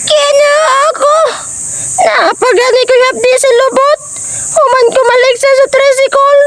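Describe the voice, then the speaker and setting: A woman playing a shrill, comically complaining village woman with rheumatism in a radio commercial skit. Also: fairly even intonation, unhurried, background noise.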